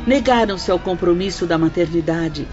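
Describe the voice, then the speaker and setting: An actress playing a stern religious sister in the spirit world, lecturing in a formal, moralizing way. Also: female voice, fairly low pitch, moderate pace, lightly acted. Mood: grave reproach. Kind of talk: dramatized audiobook, expository. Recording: dull lo-fi audio under loud music.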